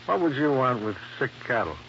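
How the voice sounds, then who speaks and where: An actor playing a wry, hardboiled American investigator, dry and wisecracking, in old radio detective drama. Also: male voice, medium pitch, sing-song, a little fast, vocal fry, a bit bright, a little hiss or hum. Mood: dry, probing. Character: wry, hardboiled American insurance investigator narrating his case. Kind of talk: 1950s radio detective narration and dialogue. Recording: muffled old radio recording.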